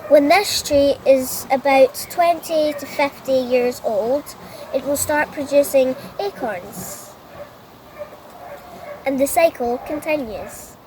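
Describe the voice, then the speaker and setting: High-voiced woman explaining slowly. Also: noisy background. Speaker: nature educator. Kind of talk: simple explanatory demonstration for children.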